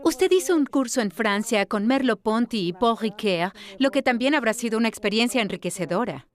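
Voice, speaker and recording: A woman's voice: fairly high pitch, lively intonation, fairly quick. A woman interviewer who asks engaged follow-up questions.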